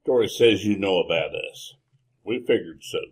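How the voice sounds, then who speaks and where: Man playing a low-voiced, wary frontiersman. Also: moderate pace. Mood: grave, wary. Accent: American Western. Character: outlaw's wife's brother, wary, plainspoken frontiersman. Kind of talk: old-time radio Western acting.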